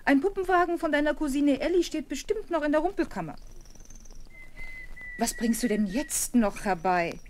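An actress playing a brisk, caring governess who coaxes and admonishes children in a radio play. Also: female voice, sits high. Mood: brisk admonishing. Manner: admonishing, coaxing, animated. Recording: vintage radio play with background noise.

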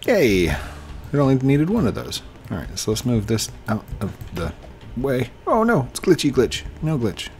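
A man's voice: mid-range voice, huge pitch swings, a little slow, darkish tone, slight background noise. A man in his thirties with a slightly tired voice, casually commenting on a building game he plays, recorded on a headset at home. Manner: chatty, talks to game objects, hums while working. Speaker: gaming channel host. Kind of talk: casual let's play commentary. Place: home room, indoors.

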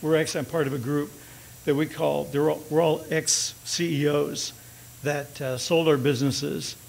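Older guy cracking dry jokes at a podium, bit higher voice, gravelly edge, big auditorium. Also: level tone, normal speed, a little mellow, low-quality recording. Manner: dry joking, loose and chatty. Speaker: company executive presenter. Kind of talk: conference presentation with jokes. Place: large auditorium stage. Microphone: podium microphone.

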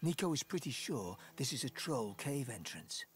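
Gruff, uneasy camp hunter played by a man. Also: mid-range voice, sing-song, moderate pace, close to an everyday voice. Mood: dry, irritated. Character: uneasy, irritable male hunter guarding a camp. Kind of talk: video game cutscene acting.

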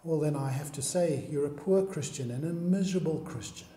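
A man's voice: fairly high pitch, brisk, dark tone. A man preaching a sermon, pressing the listener with one earnest question after another. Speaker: pastor. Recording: echoey hall recording.

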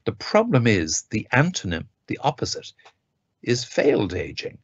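A man's voice: mid-range voice, wildly swooping pitch, normal speed, slightly rough, dry recording. A man giving an academic lecture from a home study over a webcam, speaking with enthusiasm and pointed emphasis. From Ireland. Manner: enthusiastic, reads quotes aloud with emphasis. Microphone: webcam on a video call. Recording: dull lo-fi video call audio, dry.

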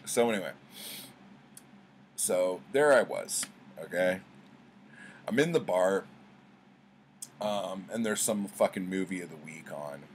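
A man's voice: low voice, animated, slow, pauses often, a little hiss or hum. A middle-aged man talking casually and conversationally to camera in a rambling, slangy, profane way, as a vlogger at home. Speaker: book vlogger. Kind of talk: casual chat, rambling storytelling. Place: small indoor room at home with bookshelves.

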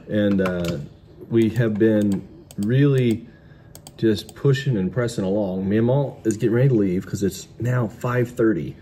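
Mellow American man calling auction lots. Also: fairly low pitch. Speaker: auctioneer and vlog host. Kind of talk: casual auction commentary. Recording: clear background noise.

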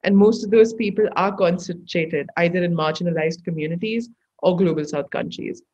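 A man's voice: sits high, moderate pace, a little mellow, highs cut off, a little hiss or hum. Teenage boy giving an earnest speech. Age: teens. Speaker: youth activist, panel speaker. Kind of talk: earnest prepared panel speech. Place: living room indoors, video call.

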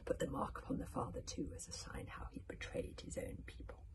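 Woman voicing a breathy, sneering villain king. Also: fairly quick, whispered, lightly acted. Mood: cold sneer. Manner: sneering, menacing declamation. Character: brutal, merciless, gloating villain man crowned king.

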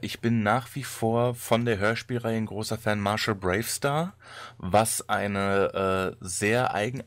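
A man's voice: lowish voice, no room sound. A man hosting a casual podcast, chatty and articulate. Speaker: podcast host.

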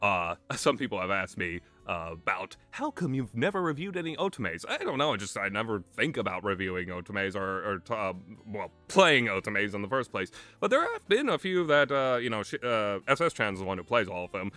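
Bright-voiced man rambling about games. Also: lowish voice, big pitch swings, a little fast, few pauses. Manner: conversational, opinionated, emphatic. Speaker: video game reviewer. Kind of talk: casual rambling commentary. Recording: music playing under the voice. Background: background music.

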